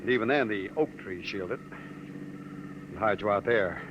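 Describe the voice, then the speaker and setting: A thin, noisy vintage radio recording carries an actor playing a resolute man with a low voice. He gives orders with urgent confidence and reassures like someone guarding a secret, in 1950s radio drama style. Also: moderate pace, muffled recording, lightly acted. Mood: calm, assured. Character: resolute, secretive man taking charge of an escape.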